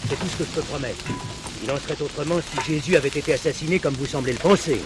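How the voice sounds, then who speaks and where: An actor playing a stern, sceptical gendarmerie captain, curt, formal and authoritative in a television drama. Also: male voice, on the high side, quick pace, music in the background, lightly acted. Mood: stern, reserved. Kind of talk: French television drama acting. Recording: clearly noisy background.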